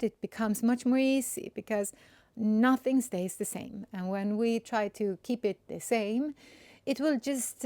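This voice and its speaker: A woman with a Swedish accent, a spiritual coach and healer, talking gently and searchingly in an unscripted inspirational monologue. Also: medium pitch, no echo, clean studio sound. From Sweden. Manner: gentle, searching, with restarts and soft fillers.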